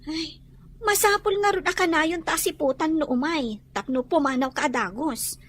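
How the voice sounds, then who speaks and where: A woman's voice: high voice, moderate pace, a bit bright. A woman with an Ilocano accent playing a friendly, sympathetic young cousin in a radio drama. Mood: concerned. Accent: Filipino, Ilocano.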